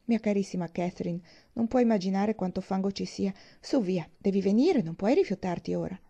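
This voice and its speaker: A woman's voice: mid-range voice, animated, creaky, warm tone, highs cut off. A woman voicing an affected, effusive young lady who coaxes and gushes, in an audiobook. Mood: coaxing. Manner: effusive, cajoling, drawn-out endearments. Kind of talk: audiobook character dialogue.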